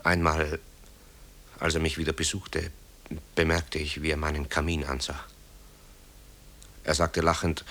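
A very deep-voiced, guilt-haunted son. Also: male voice, flat delivery, unhurried, long pauses, pushed and bright, heavily acted. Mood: tense, confiding. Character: tormented adult son, composer haunted by wartime guilt. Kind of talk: German radio drama acting.

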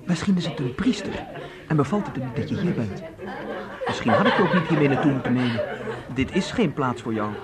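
A man's voice: mid-range voice, brisk, lightly acted. An actor in a Dutch radio play playing an affectionate, teasing older brother who looks out for his teenage sister. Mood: fond, teasing. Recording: radio play with lively crowd behind.

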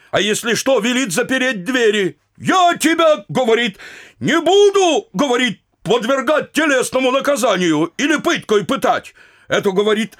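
Casting: a grumbling, bowing small-town merchant petitioner, high pitched and lively in tone, folksy stage comedy. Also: male voice. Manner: folksy, obsequious, complaining. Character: aggrieved, fawning provincial merchant petitioner. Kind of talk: theatrical audio drama comedy acting.

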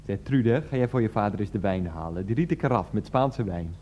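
Blustering, exasperated father with big pitch swings, acted by a man. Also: expressive, fairly quick, a little mellow, dull audio, a little hiss or hum, natural voice. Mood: exasperated, angry. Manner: blustering, sarcastic, coarse-mouthed. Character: grumpy, exasperated, sarcastic father fed up with health food. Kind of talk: Dutch radio comedy drama acting.

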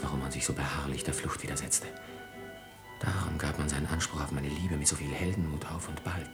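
A man's voice: a bass voice, creaky, heavily acted. An actor playing a proud, betrayed young nobleman with biting, declamatory speech in a classical radio drama. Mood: stunned, anguished. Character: betrayed young nobleman officer, passionate, proud, bitterly sarcastic.

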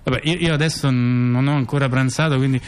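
A man's voice: medium pitch, steady tone, a little fast, natural voice. An actor playing a rambling male intellectual in a radio theatre dialogue, talking hesitantly and often tagging on questions. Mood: wry musing. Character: rambling male intellectual musing on small details. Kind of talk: conversational theatrical monologue.

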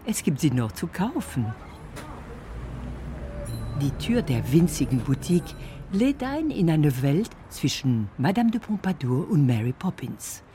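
A woman narrating a scripted radio feature in an expressive, storytelling manner. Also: deep voice, unhurried, over music.

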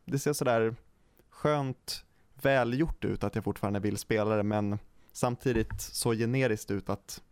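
A man's voice: fairly low pitch, darkish tone, smooth, no echo. A man chatting casually about games on a podcast in a rambling, tentative way. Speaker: podcast host.

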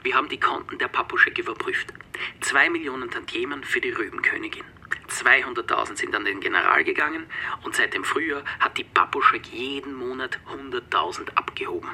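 An actor in a radio play as a businesslike police assistant, husky and matter-of-fact. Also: male voice, mid-range voice, fairly quick, sharp tone, slight background noise. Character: businesslike male police assistant reporting to his chief. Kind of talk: German radio play acting.

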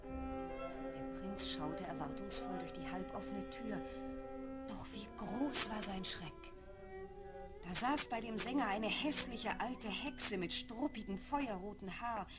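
A woman's voice: fairly high pitch, slow pace. A woman giving scripted storybook narration for a children's fairy-tale audio play. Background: music.

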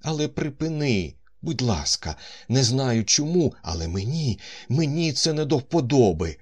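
A man voicing an excited young man who exclaims over a woman, then asks her uneasily to stop. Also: sits low, slow pace, husky, full character voice. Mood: uneasy pleading.

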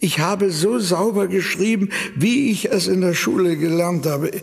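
Creaky, humble village father. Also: high-pitched, normal speed. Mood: naively defensive. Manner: deferential, simple-hearted. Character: humble, naive, worried provincial father. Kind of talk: German radio drama acting. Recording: long reverberant church-like hall.

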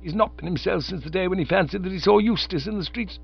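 A man's voice: high voice, vocal fry, breathy edge, voice pushed up, full character voice. A man voicing a stern, imperious aunt. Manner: pompous, lofty, halting over delicate words. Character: imperious stern middle-aged aunt, female. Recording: slightly dull lo-fi recording.

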